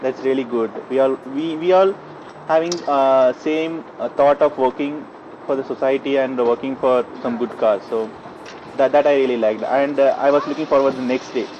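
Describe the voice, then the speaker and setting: A man's voice: mid-range voice, fairly even intonation. Young man outdoors, muffled with traffic. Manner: halting, non-native phrasing. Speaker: master's student. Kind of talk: interview, recounting.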